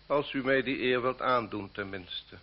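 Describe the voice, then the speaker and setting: An actor playing a stately, coldly courteous marquis in a Dutch radio play, pompous and ceremonious. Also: male voice, mid-range voice, unhurried, close to an everyday voice. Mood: coolly condescending. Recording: muffled old radio play recording.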